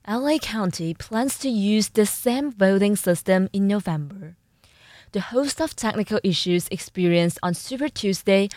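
A young woman reads student news from a script with a steady, announcing delivery. Her voice sits at a middle pitch and sounds close and dry, with no room behind it. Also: dry recording. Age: young adult. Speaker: news anchor.

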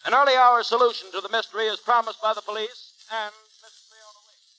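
Casting: urgent old-time newsreader, bright forceful tone, flat intoned delivery, 1930s radio. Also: male voice, high-pitched, fast talker, a little hiss or hum, low-quality recording. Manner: emphatic, declamatory. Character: urgent radio newscaster reading a bulletin. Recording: vintage 1930s radio broadcast.

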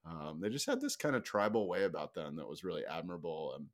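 A man's voice: sits low, very animated, fast talker. A man speaking as a podcast guest, casually telling personal stories in a conversational, anecdotal way.

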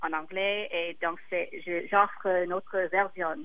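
A woman singer whose first language is English, speaking French haltingly and often pausing to search for words. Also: fairly low pitch, fairly even intonation, no echo, phone-quality sound. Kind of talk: interview in a second language.

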